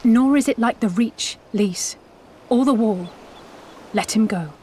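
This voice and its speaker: An actress playing a level-headed, serious strategist in a video game, measured, articulate and formal. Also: female voice, a little high, darkish tone, a little hiss or hum, natural voice. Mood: grave.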